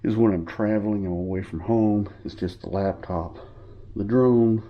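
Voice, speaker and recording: A man with a very deep voice talks through his gear in a loose, rambling way, stopping to correct himself. He sounds a little stuffy from a cold. The audio is somewhat muffled, like a basic camera mic. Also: normal speed. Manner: rambling, informal, with fillers and self-corrections. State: sniffly from a cold. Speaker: hobbyist showing his gear. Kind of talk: casual show-and-tell tutorial.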